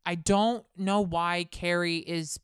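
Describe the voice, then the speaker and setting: A woman's voice: on the low side, fairly even intonation, clear, resonant tone, dry recording, studio quality. A woman co-hosting a podcast, chatting casually and ranting with strong opinions. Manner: heated, opinionated, fast rants. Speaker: podcast co-host. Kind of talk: casual chat about reality TV.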